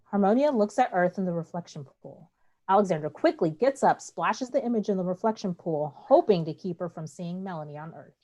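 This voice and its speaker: Mellow, low-voiced woman narrating. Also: lively intonation, a little fast. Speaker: narrator reading stage directions. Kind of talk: scripted narration.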